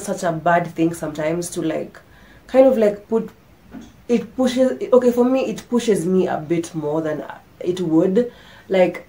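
Stuffy young woman, low mellow voice. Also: low-quality recording. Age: young adult. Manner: chatty, candid, restarts often. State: sick, stuffy, losing her voice. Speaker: vlogger. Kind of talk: casual chat to camera. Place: bedroom, indoors. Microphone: camera in front, medium framing.

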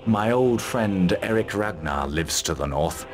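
A resolute military commander in a fantasy game. His voice sits fairly low and he speaks in firm, terse phrases, stern and businesslike, with music clearly behind him. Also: expressive, a little slow. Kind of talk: fantasy video game cutscene acting. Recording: loud music under the voice.

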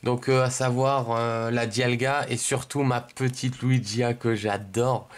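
A man's voice: mid-range voice, flat delivery, measured pace. A man from giving casual, hesitant, rambling unboxing commentary indoors at a table. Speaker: video host, card collector.

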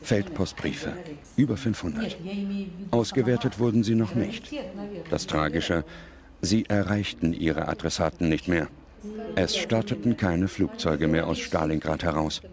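A man delivering grave, measured scripted narration as a documentary narrator. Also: low-pitched, a crowd in the background.

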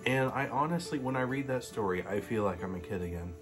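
A man in his late twenties, sitting on a living room couch and reading a Christmas story aloud in a gentle, casual way. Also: mid-range voice, animated, a little fast, over music. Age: late 20s. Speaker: storybook reader. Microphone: webcam facing him at close range.

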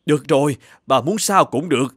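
High-pitched, grateful cartoon father. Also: lively intonation, brisk, fairly bright tone, slightly rough, no room sound, clean studio sound. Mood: generous, insistent. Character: grateful, courteous new father from a magical kingdom. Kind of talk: animated fairy-tale dubbing.

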